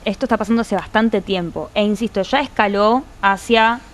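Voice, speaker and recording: A woman's voice: medium pitch, moderate pace. A woman with an Argentine accent arguing her point at length as a panelist on a political talk show. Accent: Argentine Spanish. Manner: fluent, argumentative, long sentences.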